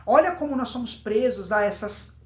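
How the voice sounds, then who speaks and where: A man's voice: very high-pitched, animated, moderate pace. A Brazilian man giving an informal public speaking lesson, emphatic, repeating words and answering his own questions. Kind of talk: informal instructional video to camera. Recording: roomy, echoing voice recording.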